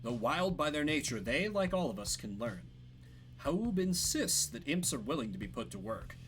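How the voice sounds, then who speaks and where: A man's voice: mid-range voice, big pitch swings, normal speed, fairly bright tone, slight background noise. A man voicing an earnest, dignified chronicler in a fantasy game, lecturing formally in bookish phrases. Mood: earnest, reflective.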